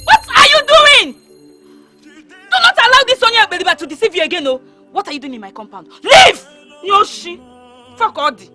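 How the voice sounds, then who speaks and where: A sharp-tongued niece yelling at a guy, very high and bright, music behind. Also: sing-song, normal speed, long pauses, heavily acted. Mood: angry. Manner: scolding, confrontational. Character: fierce young woman protecting her aunt. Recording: music underneath.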